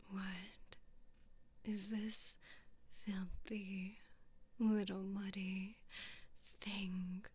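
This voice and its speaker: A cruel, disdainful queen acted out by a woman for an ASMR roleplay. She speaks in a breathy whisper and draws out her phrases extremely slowly. Her manner is imperious and condescending throughout. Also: medium pitch, darkish tone, full character voice. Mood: indignant, disdainful. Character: haughty, cruel evil queen, disdainful of peasants.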